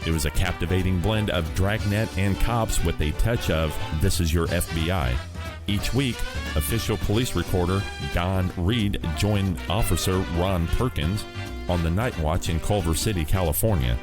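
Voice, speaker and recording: A man hosting a classic radio program, reading a steady, scripted introduction. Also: low-pitched, normal speed. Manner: steady, informative. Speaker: host. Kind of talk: scripted narration. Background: guitar music.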